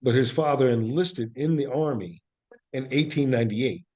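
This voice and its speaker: A man with a medium-pitched voice talks as an interview guest. He is earnest and reflective, explaining in a conversational storytelling way and repeating key phrases for emphasis. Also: moderate pace, a little mellow. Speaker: interview guest, actor and playwright.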